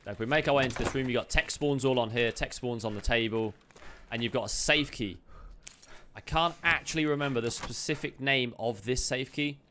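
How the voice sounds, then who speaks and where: A man's voice: mid-range voice, sharp tone, a little hiss or hum. A man casually narrating a video game loot walkthrough, chatty and upbeat, a little chesty with the odd cough. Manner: chatty, upbeat, quick asides to viewers. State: slightly chesty, occasional cough. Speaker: game streamer giving a loot guide.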